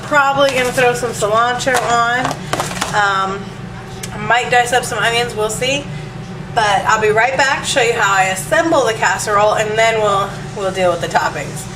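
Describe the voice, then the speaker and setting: Home cook, woman, a bit higher voice, stuffy from allergies, casual and rambling; distant camera mic, roomy kitchen echo. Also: moderate pace. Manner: chatty, relaxed, lots of ums and asides. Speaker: home cook showing a recipe. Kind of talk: casual cooking demo. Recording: echoey room, distant mic, hiss.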